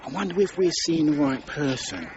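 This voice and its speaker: Doubtful, hesitant crook's sidekick, very animated, dull and noisy radio drama sound. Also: male voice, fairly high pitch, moderate pace. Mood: uneasy. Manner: uneasy, hesitant. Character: nervous, doubtful male sidekick of a swindler. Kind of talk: period radio drama acting.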